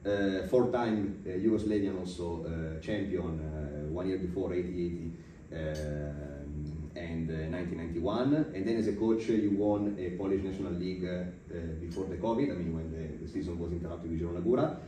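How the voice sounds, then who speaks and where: Male interviewer, very low dark voice, halting and formal, strong room echo, far from the mic. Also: steady tone. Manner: halting, formal phrasing with many hesitations. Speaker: podcast host, interviewer. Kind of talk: interview questions. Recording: roomy echo, distant mic, faint noise.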